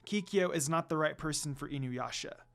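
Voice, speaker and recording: A man's voice: fairly high pitch, moderate pace, vocal fry, a bit bright. A man voicing a curious, kind young priestess with an earnest, questioning manner in a fan fiction reading. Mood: friendly, earnest.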